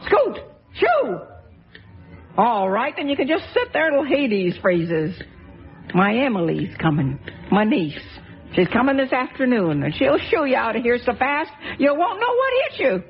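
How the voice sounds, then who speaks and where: Fussy old lady scolding in folksy talk, lively swooping voice, dull old radio sound with a bit of music. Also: on the high side, a little slow, slightly rough, exaggerated voice. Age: older. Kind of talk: radio drama acting.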